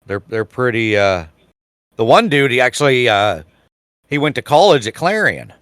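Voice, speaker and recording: A man's voice: mid-range voice, big pitch swings, normal speed, fairly bright tone, clean tone, no echo, studio quality. A man co-hosting a podcast in casual chat, rambling with many fillers and restarts. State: losing his voice, clearing his throat. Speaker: podcast co-host.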